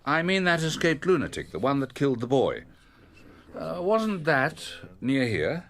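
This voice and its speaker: Fussy English doctor, swooping pitch. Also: male voice, sing-song, a little slow, a bit bright, full character voice. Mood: probing. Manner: polite, hesitant, fussy. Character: genteel, inquisitive English doctor in a country pub. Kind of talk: radio drama acting.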